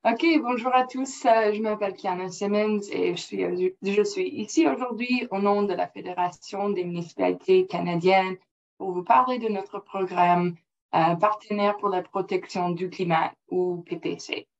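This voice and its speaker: A woman whose first language is English presenting slides in French, careful and halting with non-native phrasing, over a computer mic on a video call. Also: medium pitch, steady tone, creaky. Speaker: project officer presenting. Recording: dull lo-fi call audio.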